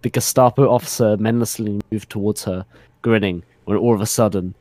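A man's voice: fairly low pitch, steady tone, a little fast, a little mellow, no echo. A man narrating a comic dramatic reading of fan fiction with mock solemnity.

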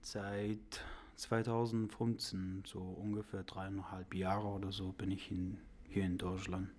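Male interviewee, twenties, Afghan accent, non-native, lowish voice, very slow halting delivery. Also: little pitch movement, darkish tone. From Afghanistan and Iran. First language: not German. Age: 20s. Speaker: podcast interviewee. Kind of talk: conversational personal account.

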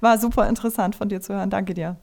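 A woman's voice: medium pitch, steady tone, fast, gravelly, darkish tone. A woman speaking as a podcast host in a casual, conversational interview style.

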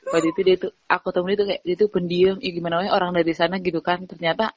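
A young woman chats as a podcast guest, telling stories in an animated, giggly way. Her pitch is ordinary. The sound is dry and a little dull, like a lo-fi home recording. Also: very fast, dry recording. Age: young adult. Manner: chatty, giggly, animated storytelling. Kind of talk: casual chat.

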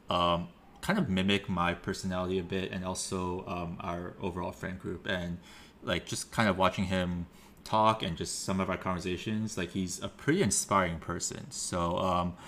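A man's voice: low voice, a bit bright, a little hiss or hum. A young man hosting a podcast, asking friendly questions in a hesitant way with many fillers. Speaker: podcast host. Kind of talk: casual interview.

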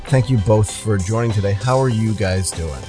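A man's voice: lowish voice, normal speed, music in the background. A man hosting a podcast, interviewing guests in a casual, chatty, informal way. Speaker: podcast host.

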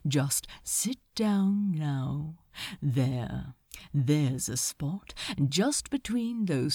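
Suave male demon for audiobook played by a woman: deep, hushed, unhurried, condescending, quietly malicious. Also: no echo, clean studio sound, exaggerated voice. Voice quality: low, hushed voice. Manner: casual, taunting, smoothly condescending. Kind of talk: fan fiction audiobook character acting.

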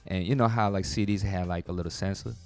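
A man's voice: low voice, fairly even intonation, fast talker, faint music behind. A man from Japan, a guest rapper, telling stories in a laid-back, slangy way. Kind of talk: casual interview chat.

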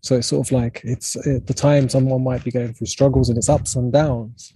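A man hosting a podcast interview, speaking in a halting way, searching for words and restarting his sentences. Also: mid-range voice, level tone, warm tone, husky, low-quality recording. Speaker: podcast host. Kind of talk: conversational interview.

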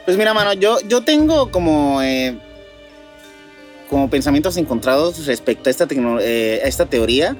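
Higher-voiced Mexican man, halting, casual. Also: sing-song, soft background music. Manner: halting, repeats words while reasoning. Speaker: podcast co-host. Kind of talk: casual conversational debate.

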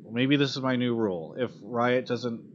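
A man's voice: mid-range voice, moderate pace. A man hosting an esports call-in show, chatting casually and bluntly, with some swearing and exasperation. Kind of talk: casual live talk show chat. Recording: dull lo-fi audio with clear background noise.

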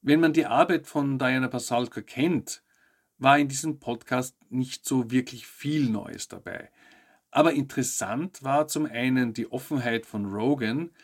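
A man hosts a news show, reading scripted narration with personal commentary in a measured, articulate way. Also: mid-range voice. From Austria. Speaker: host of a weekly UFO news show.

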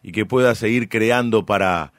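A man's voice: fairly low pitch, normal speed, no room sound, studio quality. A man hosting a radio interview in a courteous, attentive way.

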